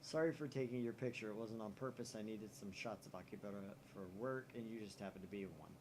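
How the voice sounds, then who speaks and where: A man's voice: fairly low pitch, fast, background noise, natural voice. A man reading as a shy, apologetic young woman. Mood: pleading. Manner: hesitant, soft, apologetic. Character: shy twenty-year-old woman writing a polite email.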